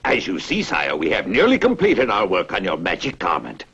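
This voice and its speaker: Oily, gravel-throated swindler. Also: male voice, sits low, huge pitch swings, normal speed, a bit bright, highs cut off, heavily acted. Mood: obsequious. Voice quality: gravelly, very raspy. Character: oily swindler posing as obsequious magic weaver. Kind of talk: satirical fairy tale dramatization.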